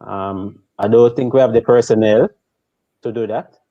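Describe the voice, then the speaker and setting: Slow, measured man, deep and dull. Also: steady tone, warm tone, no echo. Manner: slow, measured, hesitant with ums. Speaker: football pundit. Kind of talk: casual live-stream analysis. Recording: dull, dry remote mic.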